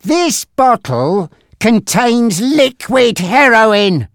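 A narrator voicing a menacing, taunting male gangster boss in an audiobook story, with a threatening, drawn-out delivery. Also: medium pitch, big pitch swings, no room sound, clean studio sound, voice pushed up. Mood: cold threatening.

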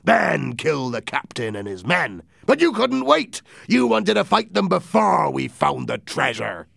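A man's voice: fairly high pitch, very animated, unhurried, creaky, fairly bright tone, no echo, studio quality, pitched above the performer's own voice, full character voice. A man voicing a wily, commanding pirate leader in a storybook reading. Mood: indignant, commanding.

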